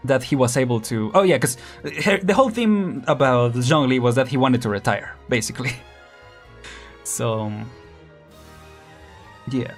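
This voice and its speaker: A man's voice: mid-range voice, measured pace, long pauses, faint music behind. A man chatting casually on a game stream, rambling with many fillers, restarts and small laughs.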